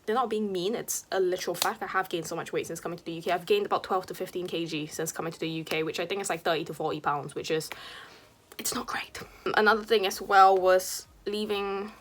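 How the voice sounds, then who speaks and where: A young woman in her twenties from Singapore, talking candidly and confessionally in a personal vlog, full of "like" and "you know," sometimes dropping to a soft whisper. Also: lowish voice, fast talker, a little hiss or hum. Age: 20s. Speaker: vlogger and streamer.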